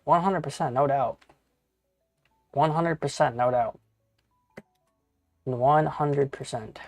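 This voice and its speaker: A man's voice: medium pitch, slow, a little mellow, no room sound, studio quality, soft background music. A man in his thirties chatting casually with viewers while playing a game on a live stream, rambling and swearing, often calling people bro and dude. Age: 30s.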